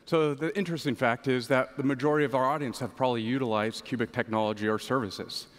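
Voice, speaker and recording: A man's voice: medium pitch, fairly even intonation, quick pace. Male executive guest, 40s, middle register, prepared interview answers on stage, headset mic in a reverberant hall. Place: large conference stage, indoors.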